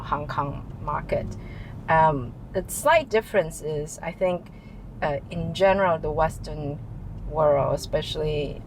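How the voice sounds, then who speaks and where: A middle-aged woman from Taiwan, answering interview questions in a relaxed way, often restarting sentences and joking. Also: deep voice, a little hiss or hum, poor audio. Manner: hesitant, restarts often, jokes and laughs. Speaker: guest, tech executive. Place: office in Shanghai.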